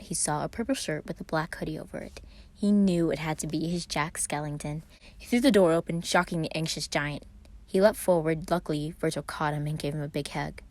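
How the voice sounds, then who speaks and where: A woman narrates scripted fiction. Her voice sits low for a woman. The recording is dry, with little room sound. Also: darkish tone, no echo. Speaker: fan fiction reader.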